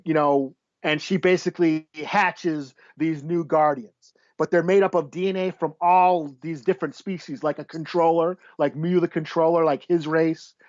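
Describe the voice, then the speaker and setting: A man reviews comics in a casual, rambling way. He swears freely and pauses to search for names. The recording is dry and a bit dull. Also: fairly high pitch, darkish tone, no echo. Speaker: podcast host. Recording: slightly dull, dry lo-fi audio.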